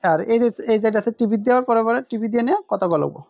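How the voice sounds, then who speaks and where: A woman's voice: a little high, expressive, racing, gravelly, a little mellow, dry recording, highs cut off. A woman presents a casual unboxing demonstration, explaining informally and repeating her points.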